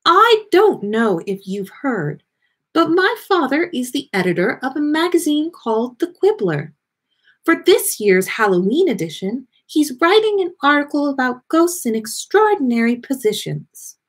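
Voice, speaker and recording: A woman voicing a dreamy, friendly teenage schoolgirl in a storybook, with a bright, airy voice and polite, serene delivery. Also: high voice, sing-song, measured pace, voice pushed up. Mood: friendly, unfazed.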